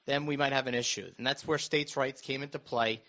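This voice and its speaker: A man's voice: fairly even intonation, brisk, bright, forceful tone. A man chatting casually about politics in an earnest, explanatory manner, repeating himself for emphasis. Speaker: radio show host and school board member. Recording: dull, muffled low-fidelity audio.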